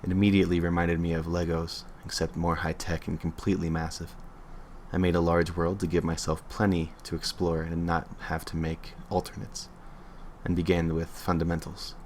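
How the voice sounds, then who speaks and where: A man's voice: deep voice, level tone, normal speed, darkish tone, slight background noise. A man reading a creepy internet story aloud on a livestream in an offhand way, stumbling and correcting himself as he goes.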